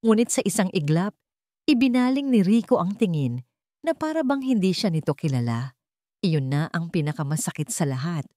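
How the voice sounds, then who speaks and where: Woman narrator for a romance drama story, bit low pitched, totally dry close recording. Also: very animated, moderate pace, warm tone, no room sound.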